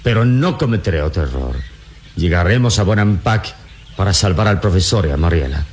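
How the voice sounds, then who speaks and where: Noble radio-drama hero, very low voice, animated swings, solemn delivery. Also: male voice. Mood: resolute. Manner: solemn, deliberate, theatrical delivery. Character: heroic adult male adventurer, calm, noble, resolute. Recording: noisy old radio drama recording.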